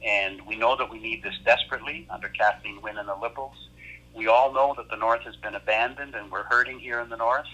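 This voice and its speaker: A man's voice: on the low side, fairly even intonation, a little fast, bright, forceful tone, muffled recording. A man from Northern Ontario with a Canadian accent, introducing a leader as a regional politician on a telephone conference call.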